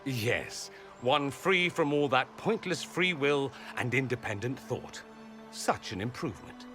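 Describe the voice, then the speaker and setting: A man acts out a haughty mage in a fantasy game. He drips sarcasm in a theatrical, sneering way, his pitch swinging widely. Soft music plays behind him. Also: animated, unhurried, close to an everyday voice. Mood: sarcastic. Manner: drawling sarcasm. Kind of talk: video game dialogue.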